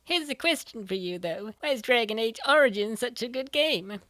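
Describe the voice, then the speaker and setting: A woman's voice: a little high, animated, normal speed, a bit bright, dry recording. Woman playing an earnest, nerdy gamer girl, close-up. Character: earnest, nerdy young female gamer, fond of puns. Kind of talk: comic dub dialogue, gaming banter.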